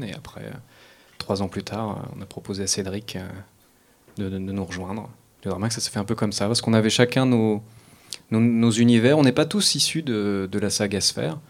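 Low-pitched man explaining in halting phrases. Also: creaky. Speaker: guest, audio fiction writer and collective founder. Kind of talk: round-table explanation.

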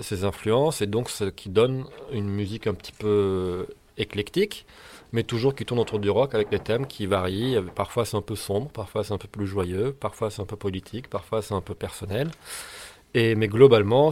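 A man's voice: on the low side, moderate pace, darkish tone. A man whose first language is Turkish, a rock singer and guitarist answering interview questions in a thoughtful, opinionated way with frequent hesitations.

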